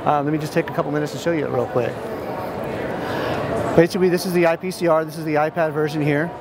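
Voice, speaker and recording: Higher-voiced American man, noisy expo floor. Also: steady tone, fast talker. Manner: matter-of-fact, step-by-step explaining. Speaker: software company representative giving a product demonstration. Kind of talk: informal product demo. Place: large indoor expo hall. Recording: noisy busy trade show floor. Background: clear ambient hall noise.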